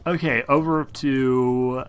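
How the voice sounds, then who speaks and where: A man's voice: medium pitch, fairly even intonation, slow pace, clear, resonant tone, soft background music. A man acting as game master, casually narrating a tabletop game in informal language with some swearing.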